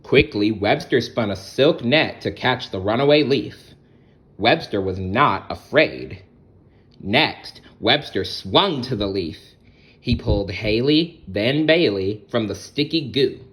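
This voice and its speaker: A man reading a children's story aloud in a gentle, expressive way, speaking directly to young listeners. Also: mid-range voice, slow pace. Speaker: storybook reader. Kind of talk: animated children's read-aloud.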